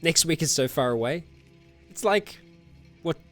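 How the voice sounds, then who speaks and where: A man's voice: fairly high pitch, lively intonation, unhurried, fairly bright tone, faint music behind. A man hosting a casual livestream and answering viewer comments, rambling a little with fillers, self-corrections and frequent laughs. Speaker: streamer host.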